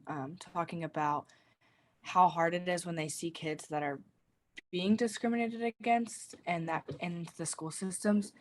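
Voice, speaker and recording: Hesitant young woman, faint background noise. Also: lowish voice. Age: young adult. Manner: hesitant, many fillers. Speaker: student. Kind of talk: classroom discussion.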